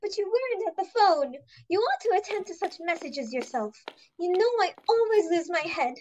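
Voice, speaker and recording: Woman playing very high-pitched, flustered young daughter. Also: expressive, moderate pace, darkish tone, a little hiss or hum. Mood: distressed, defensive. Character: anxious, bungling young society daughter. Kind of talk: amateur dramatic reading of a stage comedy.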